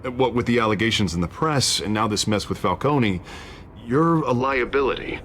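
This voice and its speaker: A man's voice: medium pitch, animated, normal speed, a bit bright, slight background noise, lightly acted. An actor playing an anxious, pleading male politician in a dramatic video game scene. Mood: urgent, pleading. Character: anxious, pleading male district attorney running for mayor. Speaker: politician character.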